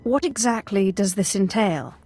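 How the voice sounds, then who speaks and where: A woman's voice: mid-range voice, lively intonation, moderate pace, a little mellow, slightly rough. A woman playing a self-assured Sith in a video game, composed and coolly persuasive. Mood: cool, inquiring. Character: poised, self-assured female Sith, smoothly charming and persuasive. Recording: music behind the voice.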